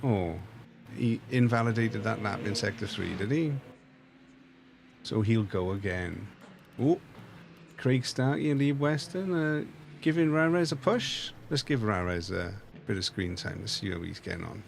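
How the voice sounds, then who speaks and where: Gravelly, dry-humored male stream host. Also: fairly low pitch, animated, a little slow, slight background noise. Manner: dry, wry, a bit harried. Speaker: stream host and race director. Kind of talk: casual live race commentary.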